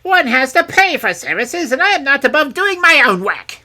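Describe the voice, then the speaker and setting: A woman's voice: medium pitch, animated, a little slow, bright, forceful tone, pitched above the performer's own voice. A woman voicing a mean, grasping little old crone in a storybook, grumbling and mocking as she haggles. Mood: cross, suspicious. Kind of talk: storybook character voice.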